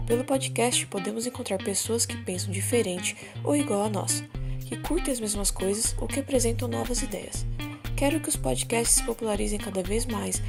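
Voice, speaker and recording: Brazilian woman reading out her podcast story, composed, kinda high voice, music behind her. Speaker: podcast contributor and voice actor. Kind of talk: prepared personal testimonial.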